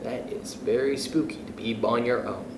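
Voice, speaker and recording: A man reading a children's picture book aloud in a playful, expressive way, chuckling between passages, then speaking to young listeners himself. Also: mid-range voice, normal speed, noisy background. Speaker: youth club storyteller. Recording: echoey room.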